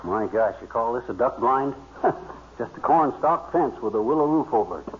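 An actor playing a wisecracking, bragging amateur duck hunter with folksy bluster in an old radio comedy, on a noisy, muffled recording. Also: male voice, mid-range voice, expressive, moderate pace, close to an everyday voice. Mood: grumbling. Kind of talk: 1940s radio comedy acting.

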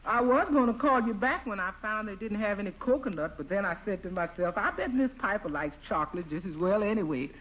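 A woman's voice: medium pitch, expressive, quick pace, few pauses, lightly acted. A woman playing a folksy, bustling, helpful household cook, homely and chatty, in 1940s radio comedy style. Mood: bustling, obliging. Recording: dull vintage radio recording.

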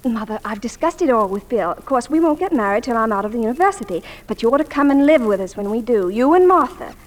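Casting: actress as young college-age daughter, high-pitched, girlish, gushing and fond, 1940s radio drama, reverberant old recording. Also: animated, fast, close to an everyday voice. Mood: curious, affectionate. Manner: effusive, girlish. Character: bright, affectionate, eager young university student daughter. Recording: vintage 1940s radio, long reverberant hall sound.

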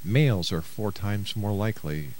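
A man's voice: fairly low pitch, moderate pace, darkish tone, a little hiss or hum. A middle-aged man hosting a radio interview, introducing and questioning his guest in an avuncular way. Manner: avuncular, uses pet names for the guest. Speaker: radio talk show host and interviewer.

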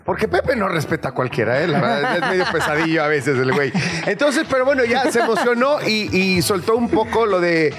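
Very high-pitched Mexican man, slangy. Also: lively intonation, fairly quick, a loud crowd. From Veracruz, Mexico. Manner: slangy, effusive, rambling. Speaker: radio co-host. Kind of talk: casual radio chat. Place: radio studio.

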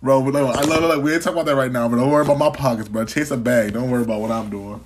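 A man's voice: mid-range voice, racing, a little hiss or hum. A young man hosting a podcast in casual chat, teasing his guests with profane banter and frequent laughter. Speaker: podcast host.

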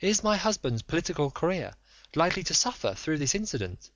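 A man's voice: a little high, very animated, a little fast, a bit bright, no echo, pitched above the performer's own voice. A man voicing an anxious noble Victorian lady who pleads in formal, earnest speech. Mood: desperate. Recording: dull lo-fi dry recording.

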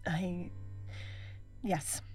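A woman playing a lonely, anxious space traveller in an audio drama, speaking in a halting, uncertain, subdued way. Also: fairly low pitch, steady tone, darkish tone, husky, soft background music, exaggerated voice. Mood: subdued, hesitant. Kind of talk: naturalistic audio drama acting.

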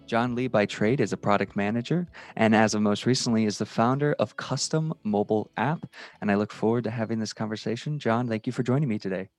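A man's voice: lowish voice, fairly quick, no echo, faint music behind. A man hosting an interview podcast, asking long rambling questions and adding encouraging remarks. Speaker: podcast host.

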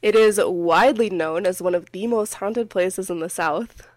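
A woman telling a story over a dry, close microphone, her throat a little scratchy from a cold. She rambles and giggles her way through it at a middling pitch. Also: moderate pace, no room sound. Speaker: podcast host. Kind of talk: casual chat.

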